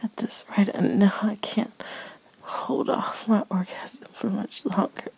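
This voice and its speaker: An adult woman giving an intimate, whispered first-person erotic narration in a breathy voice, drawn out and broken by moans. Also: mid-range voice, fairly even intonation, measured pace, a little mellow, no room sound.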